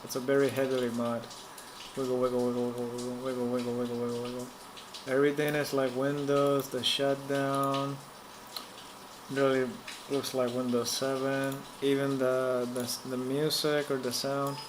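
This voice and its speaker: A man's voice: mid-range voice, flat delivery. Puerto Rican man chatting over a noisy stream. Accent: Puerto Rican Spanish. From Puerto Rico. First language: Spanish. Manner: halting, repeats words, hums and chants to himself. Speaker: livestream host. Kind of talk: live streaming chat while working. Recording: noticeable background noise.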